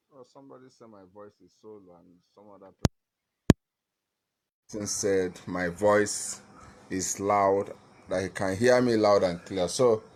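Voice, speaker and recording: A man talking to viewers on a live stream, earnest and halting, with many fillers and restarts. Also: on the low side, a little mellow, a little hiss or hum, rough recording. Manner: earnest, addresses viewers directly.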